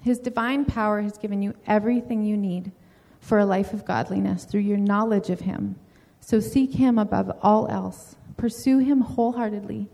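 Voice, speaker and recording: A woman's voice: medium pitch, steady tone, moderate pace, creaky, few pauses, warm tone. A woman giving an earnest, encouraging message to a newly baptized friend, ending with a read-out prayer. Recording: long reverb, hall-like.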